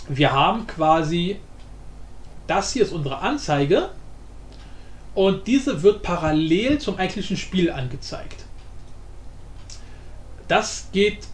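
A man with a high, animated voice presents an informal screencast lesson. He improvises and thinks aloud as he explains. The recording has noticeable room echo. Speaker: tutorial host. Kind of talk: casual screencast tutorial. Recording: roomy echo, faint background noise.

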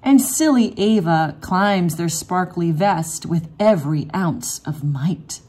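A woman reading a rhyming children's picture book aloud in an expressive, measured storytelling manner. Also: lowish voice, husky. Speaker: read-aloud storybook reader.

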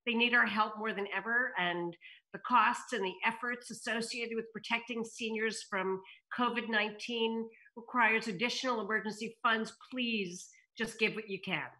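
A woman's voice: on the high side, fairly even intonation, normal speed, pushed and bright, low-quality recording. A middle-aged woman on a home video call, informally hosting a table read and narrating stage directions. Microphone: computer video call.

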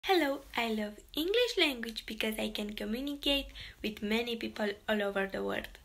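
A woman giving a slow, deliberate, prepared statement. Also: a little high, animated, gravelly, a bit bright, slight background noise. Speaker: contributor to a language video.

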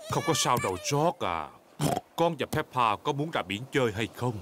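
A man's voice: medium pitch, sing-song, normal speed, very noisy, lightly acted. A man voicing a jolly, chubby father pig in a cartoon dub, good-humored and chuckling. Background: loud cartoon music.

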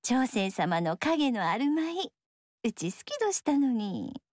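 A woman's voice: on the high side, sing-song, no echo. An actress voicing a playful young female dancer who speaks with a Kyoto accent in a game. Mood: teasing. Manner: slow, teasing, soft Kyoto-style speech. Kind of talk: game dialogue. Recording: dry studio voice.

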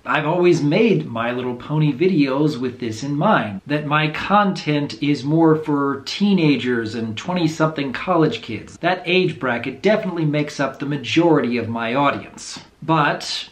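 A man's voice: animated, normal speed, hardly any pauses. Earnest man talking to camera, echoey room. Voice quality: low voice. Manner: earnest, thoughtful, openly unsure. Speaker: video creator. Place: small room indoors at home.

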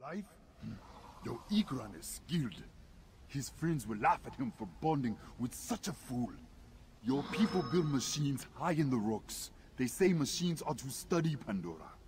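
Stern proud alien warrior. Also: male voice, mid-range voice, sing-song, measured pace, background noise. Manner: stern, commanding, contemptuous. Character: proud hostile male alien warrior, scornful of humans. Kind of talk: video game voice acting.

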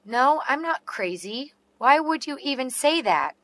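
Woman reading practice lines super slow, a bit high, dry close mic. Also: very animated, a bit bright, dry recording. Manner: slow and carefully enunciated. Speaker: English teacher reading dialogue. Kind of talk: slow read-aloud English practice phrases.

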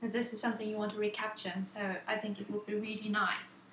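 A woman's voice: medium pitch, little pitch movement, quick pace, fairly bright tone. A woman moderating a panel discussion, upbeat and friendly. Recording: echoey room, faint hiss.

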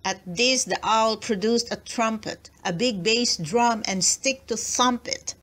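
A woman reads a children's picture book aloud as a storyteller. Her voice sits a little high and she takes the words very slowly, with expressive delivery.

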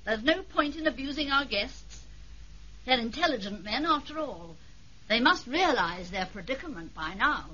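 A woman's voice: a little high, lively intonation, normal speed, bright, forceful tone, slightly rough, exaggerated voice. An actress playing a poised, commanding aristocratic British lady villain in a 1970s radio drama. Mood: cold, commanding. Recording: dull, noisy 1970s radio recording.

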